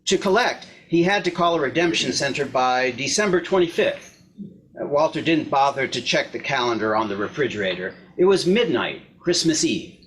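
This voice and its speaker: Guy reading a story out loud, normal mid voice, noisy background. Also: mid-range voice, lively intonation, normal speed. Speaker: reader in a readers theater. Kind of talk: scripted story narration read aloud. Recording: clear background noise, clicks.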